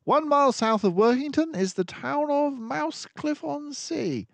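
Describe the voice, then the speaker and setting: Male reader as storybook mouse detective, high-pitched, excitable, commanding, emphatic exclamations, unhurried delivery. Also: creaky, no room sound, heavily acted. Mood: triumphant, brisk. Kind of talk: children's storybook read-aloud.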